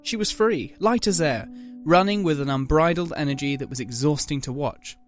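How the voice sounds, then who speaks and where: A man narrates a dramatic scripted story. His voice is a little high for a man, and faint music plays underneath. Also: moderate pace.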